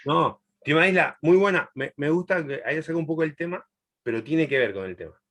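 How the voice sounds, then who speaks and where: A man's voice: fairly high pitch, lively intonation. A middle-aged man from Chile, raised in Argentina, teaching a Bible class in a chatty, laughing way. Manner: warm, chatty, laughs often, long pauses. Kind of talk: answering a student in a live class.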